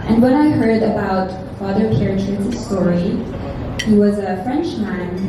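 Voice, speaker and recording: Woman speaking earnestly in an echoey hall. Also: medium pitch. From France. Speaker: pageant titleholder. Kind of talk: answering at a press conference. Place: large echoey room at a press conference. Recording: echoey hall, distant mic, clear noise.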